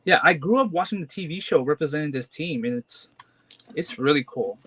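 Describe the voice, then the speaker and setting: Here is a young man in his twenties chatting about his comic collection. He talks in a hesitant, halting way, full of fillers and restarts, and his voice sits a little high for a man. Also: expressive, a bit bright, husky. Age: 20s. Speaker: guest collector. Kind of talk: casual chat.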